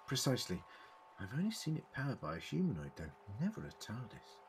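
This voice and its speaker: An actor playing an eccentric, clever time-travelling man in an audio drama, deliberate and formally worded as he explains. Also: mid-range voice, wildly swooping pitch, slight background noise. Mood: measured, explaining.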